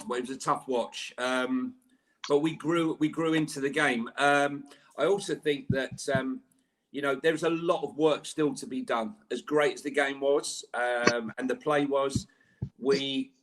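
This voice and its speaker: A man co-hosting a live fan podcast, excitable and stammering through his points. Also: mid-range voice, low-quality recording. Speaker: podcast co-host.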